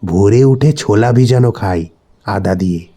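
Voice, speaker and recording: A man's voice: lowish voice, moderate pace, warm tone, slightly rough. A man voicing an evasive male friend in an audio horror story, casual, reassuring and matter-of-fact. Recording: voice over background music.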